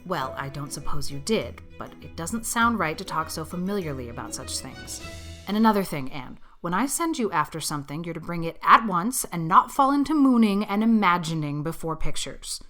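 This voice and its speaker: A woman playing a strict, brisk female guardian in an audiobook, with a curt, reproving manner. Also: lowish voice, very animated, fairly bright tone, faint music behind. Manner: curt, reproving, matter-of-fact. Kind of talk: audiobook character acting.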